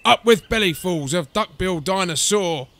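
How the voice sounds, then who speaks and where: Fierce young female dinosaur, voiced by a man, raised pitch, shouting, bullying, storybook character. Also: normal speed. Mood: bloodthirsty chanting. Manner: shouting, jeering.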